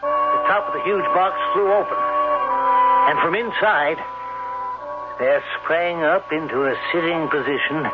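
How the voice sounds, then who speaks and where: Radio storyteller: shrewd small-town type, slow and suspenseful, slightly dull vintage sound. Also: male voice, a little high. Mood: suspenseful, grim. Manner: deliberate, suspenseful, explanatory. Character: shrewd, unhurried townsman telling a grim tale. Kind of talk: old-time radio drama storytelling. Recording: dull old radio recording.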